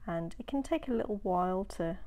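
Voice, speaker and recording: A woman narrating a home baking video in a chatty, relaxed way, sounding a little nasal from a cold, sometimes dropping into soft whispered asides. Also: medium pitch, fairly quick. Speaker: home baker. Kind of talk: casual baking voice-over.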